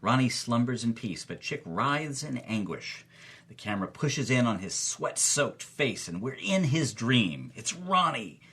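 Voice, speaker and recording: A man with a bright, mid-pitched voice narrates a film dramatically. His delivery swings widely in pitch, theatrical and suspenseful, over soft music. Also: big pitch swings, measured pace, husky. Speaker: co-host and narrator. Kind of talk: dramatic scripted movie retelling.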